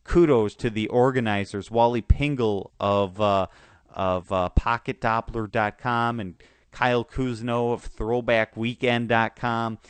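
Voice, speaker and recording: A man hosting a casual football talk show, his voice sore and a bit hoarse, stumbling through restarts and fillers. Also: medium pitch, steady tone, no echo. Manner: halting, many restarts and fillers. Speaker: sports podcast host.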